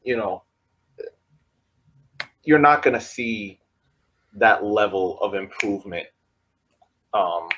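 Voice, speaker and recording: A male podcast co-host in casual sports chat. His pitch swings widely as he talks, and he stops and restarts mid-thought before pressing on. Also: slight background noise. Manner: animated, halting, restarts often, laughs between points.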